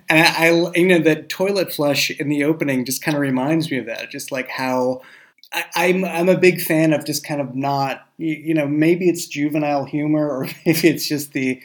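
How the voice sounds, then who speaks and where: A man's voice: fairly high pitch, a bit bright. A man talking casually and with enthusiasm as a show creator on a podcast. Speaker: audio drama creator and writer.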